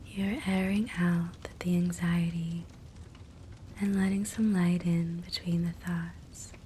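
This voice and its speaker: A woman guiding a sleep hypnosis meditation in a soft, breathy whisper, gentle and soothing. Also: lowish voice, takes it slowly. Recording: soft steady fireplace crackle noise. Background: fireplace ambience.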